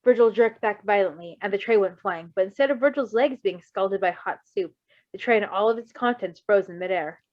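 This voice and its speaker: A woman's voice: medium pitch, fairly quick, dry recording, highs cut off. A woman reading fan fiction aloud as an amateur narrator, with casual commentary.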